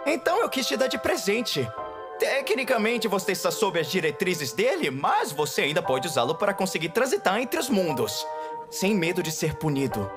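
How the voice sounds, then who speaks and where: Man acting lovelorn demon owl royal, Brazilian accent, earnest, formal, widely varied pitch, adult cartoon, music behind. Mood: gentle, solemn. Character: regal, lovelorn male owl demon prince.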